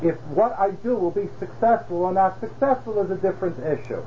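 A man's voice: high-pitched, expressive, a little fast, dark tone, slight background noise. A man teaching a religious class, explaining patiently and checking in with rhetorical questions. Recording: dull lo-fi class recording.

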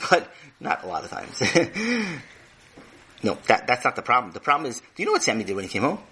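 A man's voice: medium pitch, wildly swooping pitch, moderate pace, pushed and bright, breathy edge, heavily acted. A man doing an effusive chatty teacher. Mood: lecturing. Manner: effusive, rambling, talks over others. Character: chatty, eager male teacher.

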